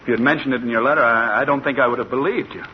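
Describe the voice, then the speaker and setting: An actor playing a curious, easily astonished doctor in a vintage radio drama, with a hesitant, wondering manner. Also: male voice, mid-range voice, rapid-fire, close to an everyday voice. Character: curious, astonished male doctor visiting his old professor. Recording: muffled, hissy old radio recording.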